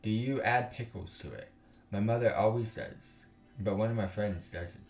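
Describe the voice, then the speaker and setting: A man's voice: lowish voice, normal speed, creaky. A man voicing a chatty, cheerful young woman from a visual novel, chattering and gushing. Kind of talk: visual novel character read-aloud. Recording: roomy echo, distant mic, music behind.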